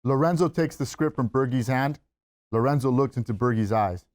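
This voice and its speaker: A man's voice: mid-range voice, moderate pace, a little mellow. A man reading out stage directions as scripted narration at a screenplay table read.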